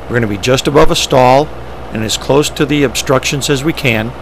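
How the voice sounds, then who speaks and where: Male pilot-presenter, medium pitch, creaky voice, plain instructional delivery in short phrases. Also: a little fast. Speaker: pilot presenting ski flying. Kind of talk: instructional narration, explaining step by step. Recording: steady engine and vehicle noise.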